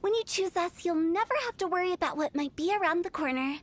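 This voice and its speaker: A woman's voice: very high voice, quick pace. A woman voicing a quirky, playful teenage girl funeral director in a video game, glib and theatrical with a morbid cheer. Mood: playfully morbid. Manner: theatrical, glib sales patter. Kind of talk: animated video game voice acting. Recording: game music behind the voice.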